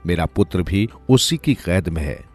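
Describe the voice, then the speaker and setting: A man's voice: deep voice, lively intonation, measured pace, darkish tone, soft background music. A man voicing a weary, poor old village woman with lost magic in a storybook tale. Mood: weary, sorrowful. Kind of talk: storybook character dialogue.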